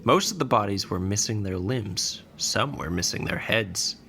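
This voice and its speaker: A man narrating a scripted fantasy story in a measured, deliberate way. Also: lowish voice, lively intonation, gravelly, pushed and bright, slight background noise. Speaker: podcast narrator.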